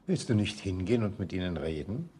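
An actor playing an anxious father in a radio drama, calling out in an agitated way with a husky, rough voice. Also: deep voice, big pitch swings, brisk, dark tone, slight background noise. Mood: concerned. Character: anxious father calling for his daughter Anna.

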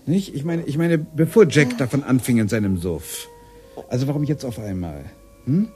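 A man's voice: mid-range voice, lively intonation, moderate pace, dark tone, close to an everyday voice. An actor in a radio drama playing a nervous man in a guilty affair who hesitates and stammers. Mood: uneasy.

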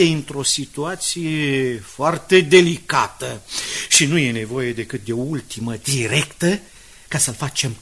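A man's voice: on the high side, fairly bright tone. An actor playing a brash, confident schemer of a newspaperman in a radio drama, with an emphatic, persuasive delivery. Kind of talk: 1980s Romanian radio drama acting.

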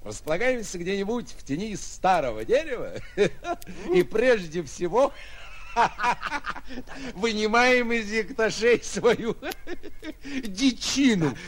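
Jovial, high-voiced small-town pharmacist. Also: male voice, sing-song, slow, full character voice. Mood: enthusiastic. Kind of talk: 1940s Soviet radio play acting. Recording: slightly noisy 1940s radio recording.

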